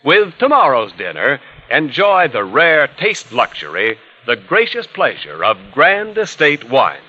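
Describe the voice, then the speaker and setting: Bright, animated 1940s American radio pitchman. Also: a little slow. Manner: polished, emphatic salesmanship. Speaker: radio announcer. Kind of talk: 1940s radio advertising announcing, scripted. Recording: dull, lo-fi vintage radio recording.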